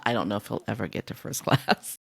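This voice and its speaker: A woman's voice: rumbling low, animated, brisk, vocal fry, fairly bright tone, slightly rough. A woman speaking as a podcast co-host, discussing books in casual conversation.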